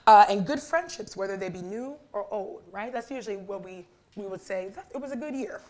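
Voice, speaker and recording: Raspy young woman preaching in church. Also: medium pitch, brisk. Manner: chatty and warm with the congregation, asking for responses. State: hoarse from a weekend of talking. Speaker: guest preacher. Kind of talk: sermon with prayer. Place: church sanctuary.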